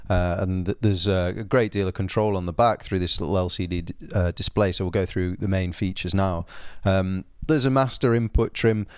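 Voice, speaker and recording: A man giving an informal spoken product review, explaining things in a measured, understated way. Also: lowish voice, darkish tone, no room sound, studio quality.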